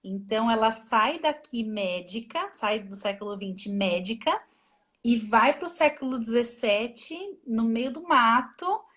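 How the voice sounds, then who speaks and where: A woman's voice: on the high side, expressive, unhurried, a bit bright. A woman translator, a guest on a live video stream, talking casually and thinking aloud with many restarts. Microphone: phone or laptop mic on a video call.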